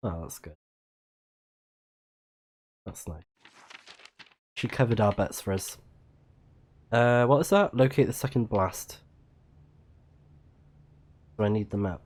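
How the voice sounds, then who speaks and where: Male let's player, low register, casual, halting read-alouds and mumbled reactions. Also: expressive, darkish tone. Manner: muttering reactions, halting when reading aloud. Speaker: video game livestreamer. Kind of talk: casual let's play commentary, reading on-screen text aloud.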